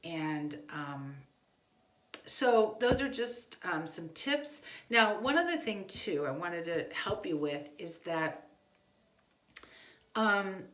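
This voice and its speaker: A woman's voice: fairly low pitch, big pitch swings, takes it slowly, long pauses, a bit bright. A woman giving casual, conversational instructional advice as a homeschooling parent. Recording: echoey room.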